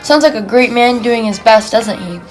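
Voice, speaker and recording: A woman's voice: fairly high pitch, normal speed. A young woman reading a scripted 1920s-style radio broadcast as its presenter.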